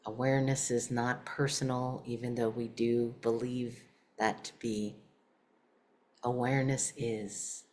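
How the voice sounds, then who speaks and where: A woman with an exceptionally low-pitched voice leads a guided meditation. She speaks slowly, setting out short phrases one at a time and leaving pauses between them. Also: little pitch movement, a little mellow. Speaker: meditation teacher.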